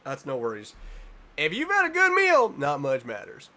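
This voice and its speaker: A man's voice: a little high, huge pitch swings, normal speed, very noisy. A man hosting a reaction video, reading aloud and adding casual commentary with wry, jokey asides.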